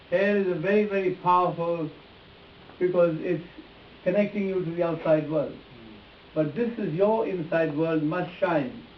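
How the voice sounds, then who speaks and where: A man giving a live demonstration as an instructor, speaking emphatically and repeating phrases as he addresses volunteers directly. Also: fairly high pitch. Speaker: face reading instructor. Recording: echoey distant room, muffled, hissy.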